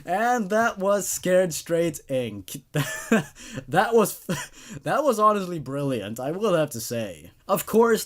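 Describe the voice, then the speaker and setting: A man giving a casual, enthusiastic off-the-cuff review as a story narrator, with a chuckling manner. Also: on the high side.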